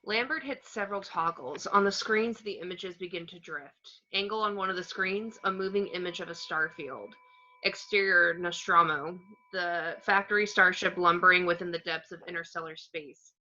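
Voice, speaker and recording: Bright lower-voiced woman narrating a screenplay. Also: moderate pace. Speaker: reader of the action description. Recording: dull, lo-fi sound.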